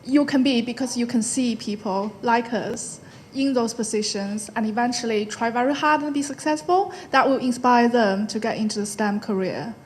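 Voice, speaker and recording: A woman from China, answering spontaneously as a panel guest and speaking thoughtfully in short phrases with frequent pauses. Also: fairly high pitch, a little mellow, slight background noise. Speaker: panel guest, tech founder.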